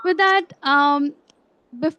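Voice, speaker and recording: A woman's voice: sits high, slow pace, no room sound, highs cut off. A young adult woman speaking as a student government officer giving a report at a meeting. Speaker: student government vice president.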